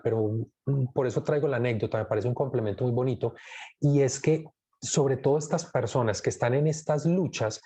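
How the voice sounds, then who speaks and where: A man with a mellow, warm voice who hosts a film club. He talks in a loose, reflective way and often stops to search for words. His pitch sits in an ordinary middle range for a man. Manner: reflective, rambling, thinking aloud with many fillers. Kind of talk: casual live film commentary.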